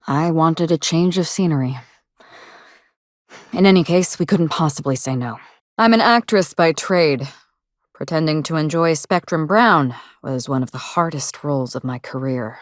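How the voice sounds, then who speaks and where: A woman playing a guarded, world-weary actress in a video game, speaking in a measured, dry, wry way. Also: low voice, no echo. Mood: wistful, subdued. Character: guarded, world-weary actress investigating her sister's murder. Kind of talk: scripted game dialogue.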